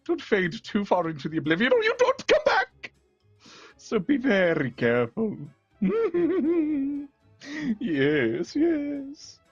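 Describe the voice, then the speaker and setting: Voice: medium pitch, wildly swooping pitch, takes it slowly, husky, no echo, lo-fi sound, soft background music, exaggerated voice. A performer voicing a theatrical villain barber who cackles often and speaks in pompous, old-fashioned phrases. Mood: gleeful menacing. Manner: archaic pompous speech, frequent cackles.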